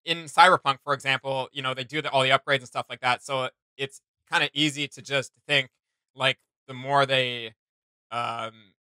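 Guy chatting on a reaction vid, really bright voice, dry close sound. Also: medium pitch, steady tone, moderate pace, clean tone, no room sound. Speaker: reaction video host. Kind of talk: casual reaction commentary. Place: small indoor room with desk setup.

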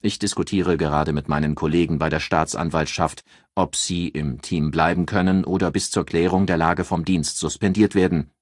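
A man voicing a jovial yet menacing state prosecutor in an audiobook, speaking formally and pompously with deliberate emphasis. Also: deep voice, steady tone, no room sound, clean studio sound. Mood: stern, threatening. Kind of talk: audiobook character dialogue.

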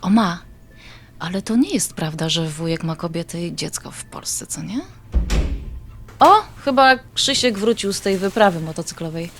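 A woman's voice: lowish voice, animated, normal speed, slight background noise. Woman acting an affectionate, coaxing granddaughter. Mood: gently challenging. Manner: coaxing, familiar, conversational. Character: affectionate, coaxing adult granddaughter living in the States. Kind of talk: audio drama acting.

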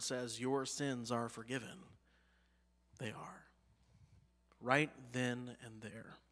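A man in his thirties with an American accent, preaching a sermon from a church pulpit. He lifts his voice on key phrases and lets line endings fall low and soft. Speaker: pastor. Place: church sanctuary, behind a pulpit. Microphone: pulpit mic, medium-wide camera.

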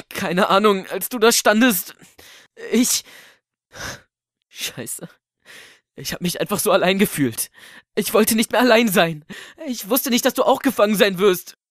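A man's voice: sits high, expressive, a bit bright, no echo, studio quality, full character voice. Despairing trapped man, acted by a man. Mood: guilty. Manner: halting, resigned, pleading. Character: lonely, despairing man trapped in his house, apologetic.

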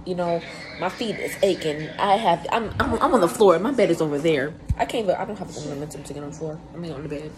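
A young woman vlogging from a dorm room on a handheld phone, chatty and rambling, her voice hoarse and worn out. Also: lowish voice, fast, a crowd in the background. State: very tired. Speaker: vlogger at cheer camp. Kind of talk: casual selfie vlog chat. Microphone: phone held close at arm's length. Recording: noisy phone recording.

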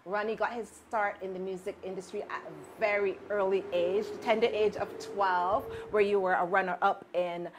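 A woman's voice: medium pitch, steady tone, normal speed, few pauses, noisy background. A woman from Barbados with a Barbadian accent, hosting a talk show and chatting in a bubbly, admiring way. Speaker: host. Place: indoor studio set.

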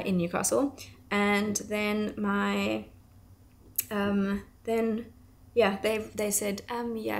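A woman's voice: mid-range voice, vocal fry. A woman in her thirties from South Africa, her accent tinged with English, chatting to camera in a rambling, casual way while working at her bench at home. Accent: South African with some English. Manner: rambling, chatty, laughs often. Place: home workshop, small room indoors.

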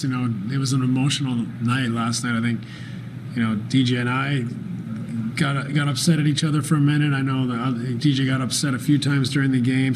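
A man in his forties, a basketball head coach answering postgame press questions in a calm, thoughtful way, often pausing with fillers and restarting phrases. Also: medium pitch, a little hiss or hum, rough recording. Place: press room with sponsor backdrop, indoors. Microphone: desk microphone at arm's length.

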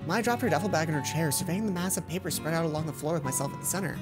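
Low-voiced young woman narrating over game music. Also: rapid-fire. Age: young adult. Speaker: let's play host. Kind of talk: reading a visual novel aloud as narration. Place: indoor room, seated at a computer.

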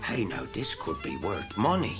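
An actor playing a wry, cigar-loving cartoon cat in a radio play, with folksy slangy grammar. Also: male voice, low-pitched, wildly swooping pitch, normal speed. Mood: wry grumbling. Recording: music behind, 1980s radio play.

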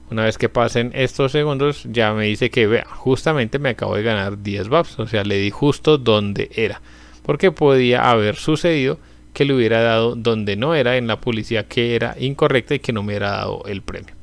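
A young man speaks in a middle, unremarkable pitch, walking through a website step by step. His delivery is casual and explanatory, sprinkled with everyday fillers. He sounds close to the mic in a small room. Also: moderate pace, gravelly. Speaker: channel host. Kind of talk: screen-recorded tutorial walkthrough.

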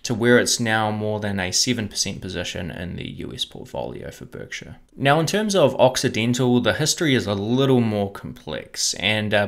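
A young man hosting an investing channel, explaining stock purchases to camera in a steady scripted way from a room at home. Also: lowish voice. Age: young adult. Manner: measured, explanatory, steady delivery. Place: home room with bookshelf, indoors.

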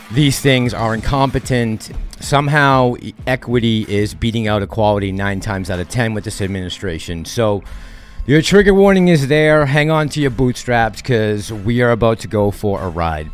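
A man's voice: mid-range voice, normal speed, faint music behind. A man in his thirties hosting a stock-market commentary vlog, heated and sarcastic, speaking on a headset mic in a small home studio. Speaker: channel host. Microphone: headset with boom mic.

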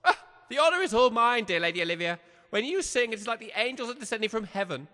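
A man playing a pompous, excitable village major with a flowery manner and an upper-class English accent in a comic audio drama. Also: very high-pitched, quick pace, no echo, studio quality, heavily acted. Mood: jovial.

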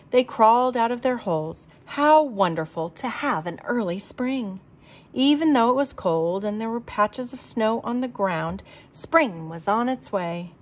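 A woman reading a children's storybook aloud in a deliberate, expressive manner. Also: medium pitch. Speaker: storybook reader.

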